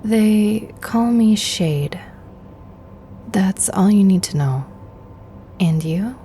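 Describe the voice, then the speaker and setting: An actress playing a frightened, mistrustful armed stranger with a halting, edgy delivery in a sci-fi horror audio drama. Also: female voice, mid-range voice, expressive, dark tone, a little hiss or hum. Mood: guarded. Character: guarded, frightened masked woman with gun, code-named stranger.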